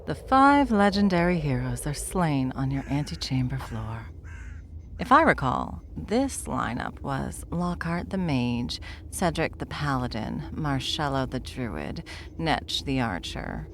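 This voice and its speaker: An ancient, smug immortal in a fantasy audio drama, with an extremely low voice that creaks at the edges. The delivery is theatrical and sardonic, swinging widely in pitch while taking its time over each taunt. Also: female voice, background noise, heavily acted. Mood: mock admiring. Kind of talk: ASMR audio roleplay acting.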